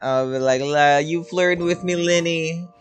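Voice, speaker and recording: A woman's voice: low voice, moderate pace, clean tone. A woman giving casual, amused, slangy asides while playing through a game. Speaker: streamer reacting to a game. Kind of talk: casual playthrough commentary. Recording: game music behind the voice.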